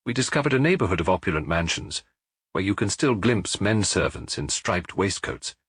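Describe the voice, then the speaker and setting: A man's voice: deep voice, very animated, moderate pace, fairly bright tone, slightly rough, no echo, clean studio sound, full character voice. A man voicing a middle-aged French detective who muses aloud and jokes dryly, in an audiobook. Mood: musing. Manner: musing, dryly joking.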